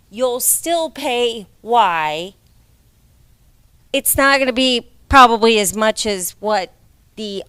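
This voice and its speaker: Chatty woman agent, loud voice, smooth and clear, high-ish. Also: poor audio. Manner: loud-voiced, conversational, frequent "like". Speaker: real estate agent. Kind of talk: meeting discussion.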